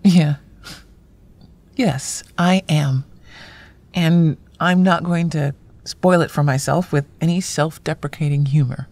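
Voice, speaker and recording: An actress playing a self-deprecating screenwriter in a naturalistic audio drama, with dry, sardonic banter. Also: female voice, deep voice, fairly even intonation, slow pace, pauses often, darkish tone, full character voice. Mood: wry, playful. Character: wry self-deprecating woman screenwriter among close friends.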